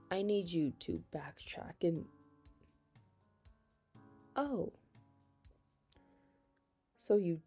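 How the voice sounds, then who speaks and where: Girl acting as flustered best friend w a secret crush, mellow creaky voice, asmr roleplay, halting, soft music behind. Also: fairly low pitch, full character voice. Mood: flustered, surprised. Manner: hesitant, halting, breaking into nervous laughs. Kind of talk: ASMR romantic roleplay, intimate conversational acting.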